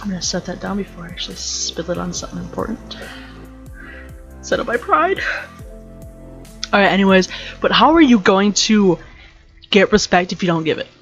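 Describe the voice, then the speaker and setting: Young woman host, deep voice, rambling jokey rant, lo-fi home recording. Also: animated, moderate pace, long pauses, a bit bright, faint music behind. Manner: rambling, joking, self-interrupting. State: slightly sick with allergies. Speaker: podcast host. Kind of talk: casual motivational rant.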